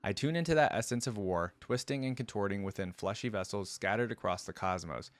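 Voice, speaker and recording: A man's voice: on the low side, fairly quick, no room sound, natural voice. A man voicing a proud, vengeful celestial dragon in grandiose, florid storybook narration. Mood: defiant. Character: proud, vengeful celestial star-forging dragon held captive.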